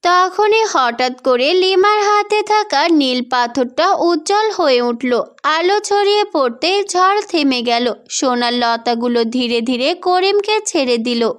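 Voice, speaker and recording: Lady storyteller reading a fairy tale script, high pitched, takes it really slow. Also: expressive.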